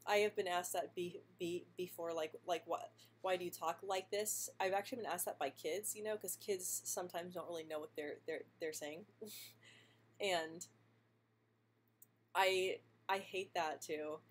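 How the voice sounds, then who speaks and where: A young woman who stutters, answering viewer questions in a casual live stream, speaking softly with frequent repeated sounds and light laughs. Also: on the low side, steady tone, measured pace, slight background noise. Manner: soft-spoken, laughs lightly between answers.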